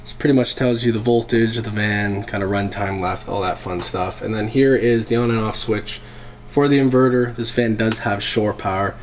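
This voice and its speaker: A man's voice: on the low side, steady tone, moderate pace. A young man, stuffy with a head cold, casually presenting a van tour to camera in a workshop. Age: young adult. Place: inside and beside a van in a workshop garage.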